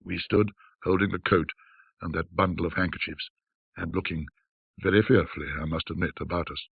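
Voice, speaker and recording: Guy reading an old ghost story, raspy voice, formal n measured, echoey room sound. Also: low voice, fairly even intonation. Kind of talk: scripted literary narration, classic ghost story reading. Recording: muffled band-limited audio, roomy echo.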